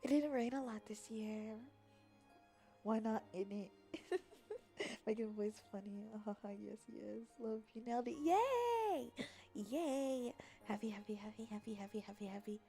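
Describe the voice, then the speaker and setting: A young adult woman chatting casually on a livestream in a playful, silly, expressive manner, reacting to her viewers. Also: a little high, a little slow, soft background music. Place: apartment.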